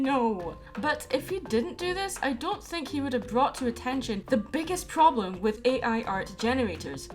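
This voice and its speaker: A woman giving earnest, opinionated commentary to viewers as a video commentator. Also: fairly high pitch. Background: background music.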